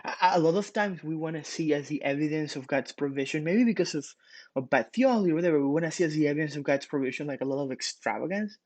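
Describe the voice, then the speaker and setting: A man's voice: a little high, fast talker, darkish tone, no echo. Casual young man giving a devotional. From Ecuador. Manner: casual, many fillers and restarts. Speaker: pastor. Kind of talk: morning devotional.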